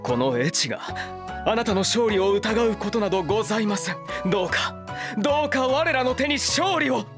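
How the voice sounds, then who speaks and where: A man's voice: sits high, expressive, moderate pace. A devoted servant in a comedy anime. His speech is courteous and formal, with a stagey, deferential flourish. Music plays behind the voice. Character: courteous, devoted male retainer, theatrical former actor. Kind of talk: Japanese voice-anime comedy acting.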